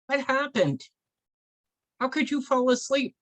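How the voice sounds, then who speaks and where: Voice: high voice, measured pace, a bit bright, no room sound, voice pushed up. Storyteller putting on a stern, exasperated medicine man. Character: stern, exasperated male medicine man scolding his helper.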